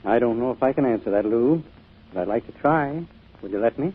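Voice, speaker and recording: An actor playing an earnest, caring church minister who speaks in a pleading manner, in an old-time radio drama. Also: male voice, mid-range voice, lively intonation, a little fast, breathy edge. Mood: gentle, pleading. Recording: muffled old radio recording.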